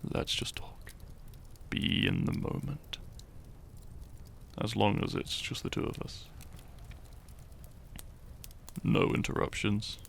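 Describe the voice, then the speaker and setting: A man playing a shy young farmer turned hero in an intimate romantic audio roleplay, tender and teasing, speaking softly and haltingly. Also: lowish voice, expressive, vocal fry, a little hiss or hum, full character voice. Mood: fond, teasing. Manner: soft, hesitant, halting, sighing and chuckling.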